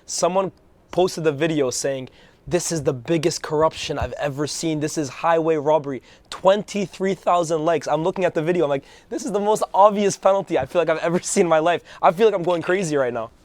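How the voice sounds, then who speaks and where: Hoarse male co-host, rapid chatter, dry booth sound. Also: fairly high pitch, no echo. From Algerian background. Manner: fast, animated storytelling. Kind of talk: casual football chat.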